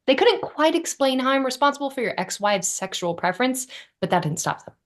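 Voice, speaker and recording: A woman's voice: high voice, big pitch swings, fairly quick. A woman playing a wry, exasperated young woman in a sitcom script read.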